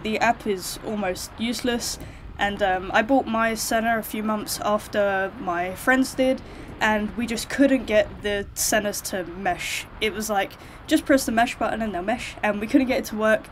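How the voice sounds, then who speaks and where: A woman's voice: medium pitch, a little hiss or hum. A British woman giving casual, frank buying advice to viewers as a motorcycle vlogger, speaking informally. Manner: informal, frank, hedged opinions.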